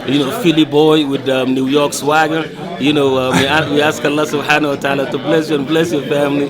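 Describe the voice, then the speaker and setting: A man's voice: fairly high pitch, little pitch movement, fairly quick. A man from Ghana, talking outdoors in a city in an earnest, repetitive, preaching way, with some joking. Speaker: community member. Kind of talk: casual preaching talk. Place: outdoors in a city among a crowd. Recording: clear crowd noise behind.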